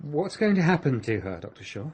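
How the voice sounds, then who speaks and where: An actor playing a scheming, earnest underling in a radio drama. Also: male voice, a little high, very animated, a little fast, a little mellow, slightly rough, heavily acted. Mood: troubled. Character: scheming, earnest male underling, quietly defiant.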